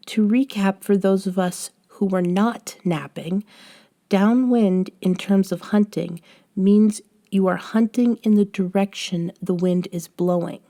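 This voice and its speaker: A woman playing a patient, gently chiding young friend in a soft-spoken scripted roleplay audio. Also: mid-range voice, steady tone, a little slow, close to an everyday voice. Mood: patient, teasing. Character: young woman, patient bossy friend coaching a reborn vampire. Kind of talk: scripted roleplay audio, one-sided conversation.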